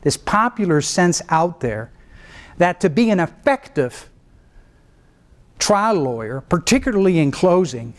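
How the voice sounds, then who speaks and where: A middle-aged man, a trial lawyer, lecturing law students in an animated way, swinging between a low calm voice and sudden raised mimicry. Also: unhurried, pauses often. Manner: animated, mimics other lawyers with rising pitch, then drops low to show restraint.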